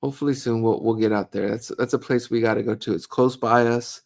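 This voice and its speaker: A middle-aged man talks through a live question-and-answer stream, loose and chatty. He reads viewer comments aloud and riffs on them with easy jokes, his voice sitting at an ordinary pitch for a man. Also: steady tone, fast, gravelly, a little mellow. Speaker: podcast co-host reading live comments. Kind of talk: casual live Q&A chat.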